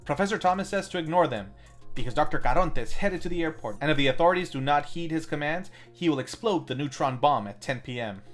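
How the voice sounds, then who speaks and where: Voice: medium pitch, lively intonation, quick pace. A film review host narrating a scripted plot recap with tongue-in-cheek asides. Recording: music under the voice.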